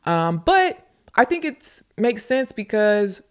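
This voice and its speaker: A woman's voice: a little high, very animated, takes it slowly, smooth. Woman podcaster chatting casually. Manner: informal, repeats phrases for emphasis. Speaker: podcast host and content creator. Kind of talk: casual solo podcast talk answering listener questions.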